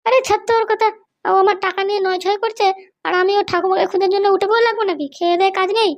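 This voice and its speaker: A woman's voice: extremely high pitch, fairly even intonation, racing, dull audio. A woman voicing a bossy, scheming female election candidate in a comedy cartoon. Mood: dismissive. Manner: dismissive, assuring. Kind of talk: amateur comedy cartoon dub.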